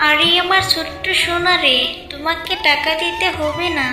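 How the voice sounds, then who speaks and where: High-pitched coaxing village sweet-seller played by a woman. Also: steady tone, normal speed, roomy sound. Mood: coaxing, syrupy. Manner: coaxing, sing-song calling. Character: sweet-talking village sweet-seller woman hiding a child-snatching menace. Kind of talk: Bengali fairy-tale cartoon dubbing.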